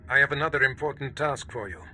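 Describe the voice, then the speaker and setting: Cruel, commanding Sith lord, sharp-edged. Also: male voice, mid-range voice. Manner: slow, menacing, imperious. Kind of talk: video game villain acting.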